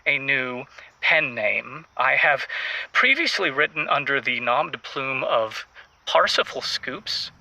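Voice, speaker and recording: A man playing a pompous, florid writer calling a radio advice show, speaking in ornate phrases with theatrical pauses. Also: mid-range voice, lively intonation, creaky, sharp tone, no echo, heavily acted, rough recording. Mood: pompous, earnest. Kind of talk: improvised comedy radio call-in.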